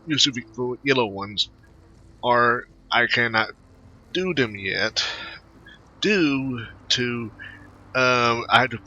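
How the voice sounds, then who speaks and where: A man giving casual, rambling gameplay commentary as a video host, speaking in halting phrases. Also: mid-range voice, pushed and bright. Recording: muffled home mic with faint noise.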